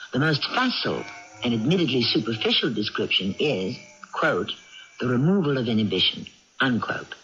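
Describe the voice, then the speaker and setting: A man hosting a radio drama with scripted narration, deliberate, ruminative and rhetorical. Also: a little high, a bit bright. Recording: muffled old radio broadcast with music.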